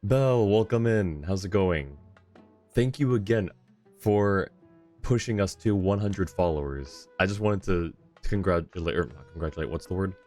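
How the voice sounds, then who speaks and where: Low male voice, slightly tired, casual game streamer chat, polite, appreciative, faint background music. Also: lively intonation, normal speed, darkish tone. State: a little tired. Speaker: live streamer. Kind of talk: casual chat with viewers while gaming.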